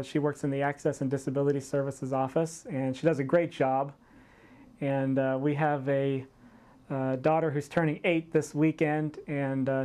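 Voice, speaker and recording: Higher-voiced man in his forties, talking hesitantly. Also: darkish tone. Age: 40s. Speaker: college math instructor. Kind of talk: casual talk to camera about work.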